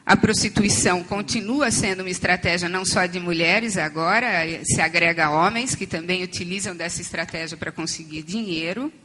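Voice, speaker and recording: A woman with a hoarse voice, close to losing it, gives a conference talk on treatment, explaining step by step with frequent fillers and restarts. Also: fairly low pitch, fairly quick, few pauses, pushed and bright, slight background noise, poor audio. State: losing her voice. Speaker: conference panel speaker on addiction treatment. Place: conference hall.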